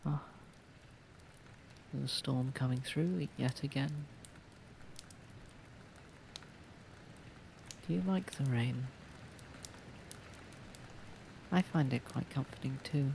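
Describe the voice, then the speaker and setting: An actor voicing a posh, gentle, protective vampire lord in an ASMR roleplay, soft-spoken, husky and unhurried, close to the microphone. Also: mid-range voice, slight background noise. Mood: tender, soothing. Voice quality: breathy, husky, slightly creaky. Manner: soft-spoken, soothing, unhurried, slightly formal. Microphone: close microphone, dry.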